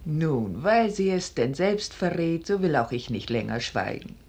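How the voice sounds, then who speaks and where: A woman's voice: sits low, sing-song, unhurried, darkish tone, slightly rough, heavily acted. An actress playing a keen, outspoken noblewoman with pointed theatrical delivery in a 1950s German radio play. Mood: pointed, revealing. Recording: noisy 1950s radio drama recording.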